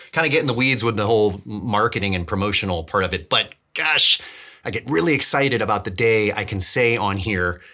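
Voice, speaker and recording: A man with a slightly low, bright and forward voice hosts a casual devlog. He thinks aloud in a rambling, informal way, doubling back on words as he explains himself to viewers. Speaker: DIY filmmaker and vlog host. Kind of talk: casual devlog talk to camera.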